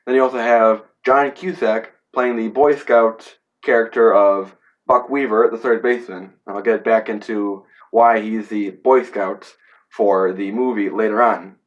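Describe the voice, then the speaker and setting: A man's voice: on the low side, fairly even intonation, unhurried, lo-fi sound. Congested man, casual teacher-style review. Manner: rambling, with many fillers and playful asides. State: congested from a cold. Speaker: history teacher reviewing a film.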